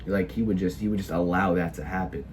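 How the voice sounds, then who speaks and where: A teenage boy speaks in a low register, audibly sick. He talks haltingly and keeps restarting his sentences with filler words. A faint background noise sits under his voice. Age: teens. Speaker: video creator. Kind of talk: casual opinion monologue.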